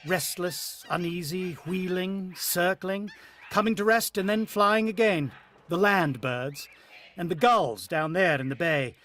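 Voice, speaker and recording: An actor playing a brooding, uneasy husband and father in a vintage-style radio drama, musing with dramatic pauses. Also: high voice, a bit bright.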